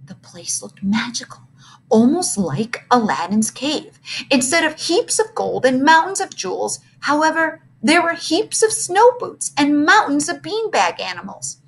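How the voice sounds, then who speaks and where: A woman reading a children's book aloud as expressive scripted narration. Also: high-pitched, measured pace, low-quality recording.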